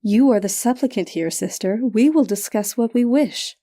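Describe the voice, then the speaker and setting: A woman voicing a cool, merciless witch sister in a fantasy audiobook, speaking with smooth, deliberate condescension. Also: a little high, mellow, natural voice. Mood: condescending.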